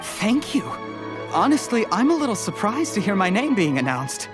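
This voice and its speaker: Diplomatic young male official, earnest, courteous, expressive range, video game dialogue, clear music behind. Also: high-pitched. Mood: gracious. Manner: courteous, measured. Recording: prominent background music.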